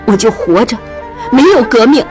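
A woman's voice: a little high, lively intonation, normal speed, music in the background, voice pushed up, close to an everyday voice. A woman voicing an anguished, resolute young revolutionary woman in a dramatized audiobook, emphatic and impassioned. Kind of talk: dramatized audiobook character acting.

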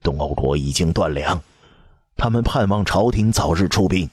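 A man's voice: low voice, lively intonation, normal speed, husky, no echo, studio quality. A man voicing a hesitant male court minister who hedges his answers. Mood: evasive. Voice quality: hesitant, hedging.

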